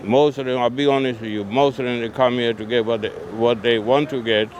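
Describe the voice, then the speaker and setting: A man's voice: mid-range voice, steady tone, a little fast. An older man from Pakistan answering a street interviewer bluntly, complaining about his area. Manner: blunt, complaining, simple phrasing. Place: outdoors on a city street. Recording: street noise in background.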